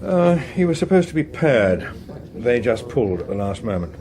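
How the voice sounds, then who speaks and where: Suave, wry English political fixer. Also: male voice, mid-range voice, very animated, moderate pace, crowd noise. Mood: wry, breezy. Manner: smooth, wry, understated, teasing. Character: suave, unflappable, ambitious veteran British political chief whip. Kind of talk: naturalistic radio drama acting.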